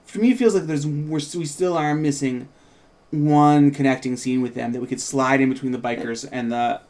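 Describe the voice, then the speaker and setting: A man's voice: medium pitch, fairly even intonation, moderate pace, darkish tone. A man chatting casually as a show host and writer, rambling and interrupting his own thoughts. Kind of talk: casual chat about writing a script.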